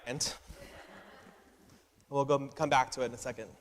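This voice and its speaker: A man, a rabbi, introducing a guest in a relaxed, conversational way. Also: medium pitch, normal speed. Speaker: rabbi moderating a discussion. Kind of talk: casual introduction. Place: sanctuary. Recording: long hall reverb.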